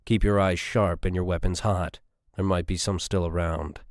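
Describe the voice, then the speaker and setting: A man's voice: low-pitched, steady tone, normal speed, dry recording, studio quality. A man voicing a stern, broad army sergeant giving orders in an audiobook. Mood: urgent, commanding. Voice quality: stern, commanding.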